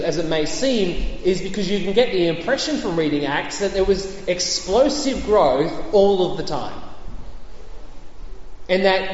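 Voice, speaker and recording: A man's voice: high-pitched, a little hiss or hum. A man preaching a sermon in a conversational way, with fillers and light jokes. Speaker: pastor. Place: church hall. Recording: echoey hall, dull lo-fi sound.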